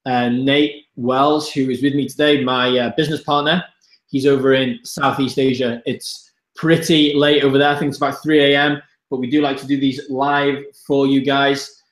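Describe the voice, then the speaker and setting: A man's voice: mid-range voice, little pitch movement, moderate pace. A man from the north-east of Wales hosting a live online masterclass, talking casually and speaking straight to his viewers. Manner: chatty, addresses viewers directly. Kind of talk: casual live-stream presentation. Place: room, Budapest. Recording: roomy echo.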